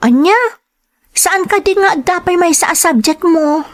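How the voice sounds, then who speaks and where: A woman's voice: very high-pitched, a little slow. A woman acting out a dutiful college-age daughter in an audio drama, with an Ilocano accent. She sounds young, earnest and a little fretful. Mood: light, friendly.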